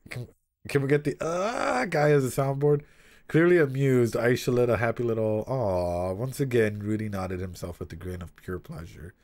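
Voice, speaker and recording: A man streaming a casual read-aloud with commentary, talking in an animated, swearing way with frequent asides. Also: medium pitch, moderate pace.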